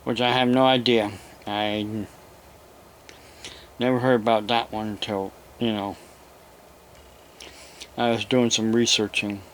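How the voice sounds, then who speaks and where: An American man hosting an informal, unscripted hobby vlog, talking in a halting, rambling way with long pauses. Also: lowish voice, little pitch movement, fairly bright tone, slight background noise. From United States. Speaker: YouTube radio hobby host.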